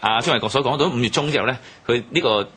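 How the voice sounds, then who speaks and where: A man's voice: medium pitch, racing, fairly bright tone, slightly rough. A man with a Hong Kong Cantonese accent, giving analytical explanations in a radio history discussion. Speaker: commentator on history radio programme.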